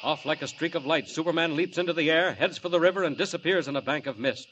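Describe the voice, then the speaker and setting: An actor playing a dramatic announcer, declaiming narration for a 1940s radio superhero serial. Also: male voice, a little high, quick pace, a bit bright, slight background noise, natural voice. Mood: dramatic, grave. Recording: muffled old radio recording.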